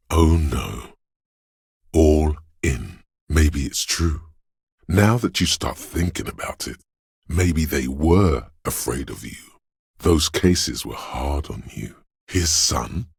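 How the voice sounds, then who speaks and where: A man voicing a menacing, paranoid inner voice of fear and aggression in a video game, gravelly and husky, ominous and deliberate. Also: rumbling low, dry recording, clean studio sound, heavily acted. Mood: dark, menacing. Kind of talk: scripted game voice acting, second-person narration.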